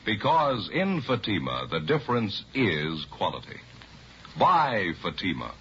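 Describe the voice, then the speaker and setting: A man announcing a 1950s radio advertisement with deliberate, emphatic salesmanship. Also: on the low side, huge pitch swings, fairly bright tone. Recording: muffled vintage radio recording, faint noise.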